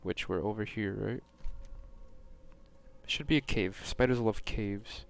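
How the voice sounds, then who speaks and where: A young man from New York talks through his game session in a loose, wandering way, often joking at his own expense. His voice sits a little low and comes through smooth and clear. His delivery leans toward the monotone. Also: takes it slowly. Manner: rambling, self-mocking, drifts off-topic mid-game. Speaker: streamer. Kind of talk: casual gameplay commentary.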